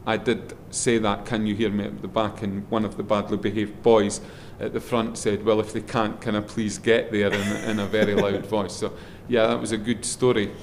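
A middle-aged man with a loud voice, a guest in a relaxed interview, rambling a little with frequent ums and restarts as he talks. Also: on the low side, moderate pace, hardly any pauses, a little hiss or hum. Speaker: guest, head of a schools group.

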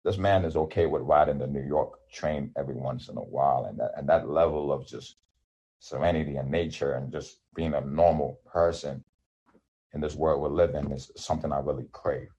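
Guy in his 30s, yoruba first language, super deep husky voice, rambles and restarts a lot. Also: fairly quick, warm tone, lo-fi sound. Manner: rambling, many restarts and fillers. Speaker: independent musician guest. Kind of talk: casual interview.

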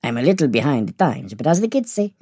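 Audio-drama casting: genteel old vampire gentleman played by a woman, arch and exclamatory, mellow husky tone, wildly swooping delivery. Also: a bass voice, big pitch swings, fast talker, dry recording, clean studio sound, full character voice. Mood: theatrical, inviting. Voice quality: husky, creaky. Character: chatty genteel male vampire, old, tetchy-fond, theatrical. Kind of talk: acted audio story dialogue.

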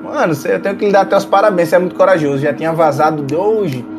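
A man's voice: high voice, very fast. A Brazilian man chatting casually as a podcast co-host, reacting to a story. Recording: music playing behind the voice. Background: music.

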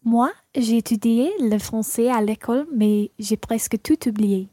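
A woman playing a modest French learner in a language-course radio play, speaking in a careful, measured way. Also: a little high, fairly even intonation, warm tone, no echo, studio quality, natural voice. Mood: matter-of-fact. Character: Christina, woman who studies French, modest dinner guest.

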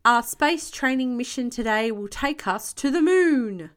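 A woman voicing a hearty, booming old grandfather rabbit who leads a space mission in a storybook. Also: expressive, measured pace. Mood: hearty, rousing. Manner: booming, rousing.

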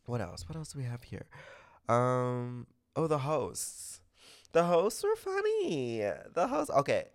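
A man's voice: mid-range voice, big pitch swings, slow pace, creaky. A man hosting a podcast in a casual, gossipy chat, talking with a stuffy nose and gushing, opinionated comments. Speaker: podcast host.

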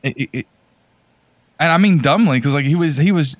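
A man's voice: fairly high pitch, a little fast, dry recording. Rambling man chatting, dry close recording. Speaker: podcast co-host. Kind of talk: casual chat about movies.

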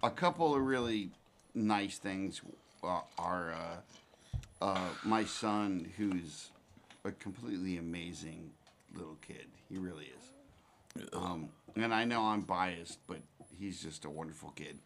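A man in his forties hosting a casual chat, talking in halting, rambling phrases with many restarts. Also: deep voice, a bit bright. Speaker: podcast host.

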